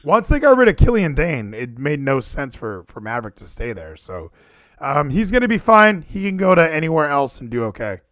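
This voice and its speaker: A man talking casually and animatedly as a podcast co-host, full of quick asides. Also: a little high, sing-song, fairly bright tone, dry recording, studio quality. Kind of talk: casual wrestling chat.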